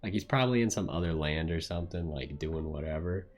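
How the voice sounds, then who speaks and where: Deep-voiced man musing over gameplay. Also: fast. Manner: offhand, musing. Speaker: game streamer. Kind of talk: casual gameplay commentary.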